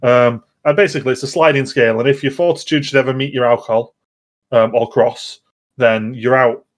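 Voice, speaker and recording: A man in a casual chat, explaining things in a halting, rambling way. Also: medium pitch, steady tone. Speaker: podcast host.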